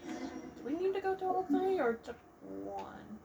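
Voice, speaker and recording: A woman's voice: very high-pitched, very animated, a little slow, creaky, very noisy. A woman giving casual gameplay commentary as a let's player.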